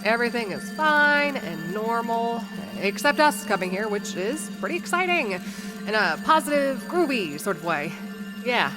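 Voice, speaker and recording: A woman narrates a comedic audio drama in the first person. She rambles and stumbles through fillers and drops wry asides to the listeners, with music playing clearly beneath her. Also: fairly high pitch, big pitch swings, normal speed, poor audio. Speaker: narrator and lead character.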